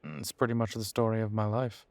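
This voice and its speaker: A man playing a weary, wry werewolf boyfriend in an intimate audio roleplay, talking casually with hesitant, trailing pauses. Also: fairly low pitch, steady tone, gravelly, no room sound. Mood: weary, bitter. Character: weary, wry male werewolf boyfriend estranged from his family. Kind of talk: intimate roleplay audio drama, conversational monologue.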